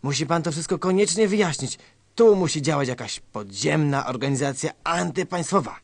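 Husky, conspiratorial colonel. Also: male voice, fairly high pitch, lively intonation, normal speed, no room sound. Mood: grave, alarmed. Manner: confiding, melodramatic. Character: nervous, conspiratorial male colonel, paranoid and grave. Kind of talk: satirical radio sketch acting.

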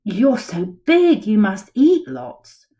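A woman's voice: very animated, slow pace, warm tone, muffled recording. A woman doing an eager little puppy. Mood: amazed. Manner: high, eager, wide-eyed. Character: excited young puppy, male, small, eager.

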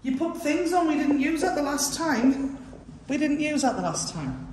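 High-pitched woman, very echoey room. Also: sing-song, normal speed, a little mellow. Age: middle-aged. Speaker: vlog co-host. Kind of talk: casual domestic chat. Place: bare tiled rooms indoors. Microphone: camera a few steps away. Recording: very echoey rooms, distant mic, noise.

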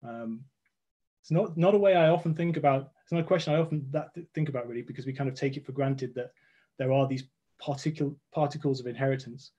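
Mellow-voiced man explaining science on a call. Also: medium pitch, fast talker, highs cut off. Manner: thoughtful, restarts words, explains step by step. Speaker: guest scientist. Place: home room with red walls. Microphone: video call.

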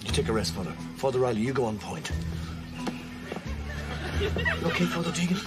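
Actor as animated, take-charge Irish priest leader. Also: male voice, medium pitch, brisk, a loud crowd, lightly acted. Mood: commanding. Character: earnest take-charge priest parodying a war-film platoon leader. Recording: loud film music behind.

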